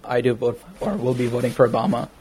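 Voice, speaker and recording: A man's voice: mid-range voice, quick pace, a little hiss or hum. Emphatic young American man, chatty. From United States. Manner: animated, emphatic, laughs while arguing. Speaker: interviewee, barbershop customer. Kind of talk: casual conversation.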